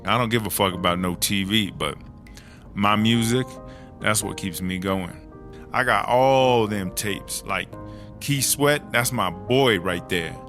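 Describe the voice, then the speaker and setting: A man's voice: fairly low pitch, unhurried, a bit bright. A man playing a streetwise, jaded female prison lifer, telling stories in casual, profane slang for a comedy audio drama. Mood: wistful, relaxed. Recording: music bed under the voice. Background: music.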